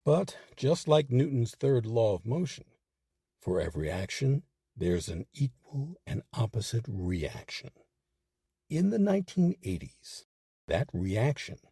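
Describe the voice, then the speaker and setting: Deep, husky male documentary narrator. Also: sing-song, a little slow, dark tone, no echo, studio quality. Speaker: host and narrator of a history series.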